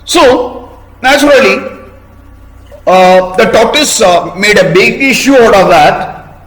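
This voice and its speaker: A man telling a story to children in a teacherly way, pausing to ask questions and explain words. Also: high-pitched, animated, a bit bright, roomy sound, poor audio. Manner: didactic, asks listeners questions and explains words.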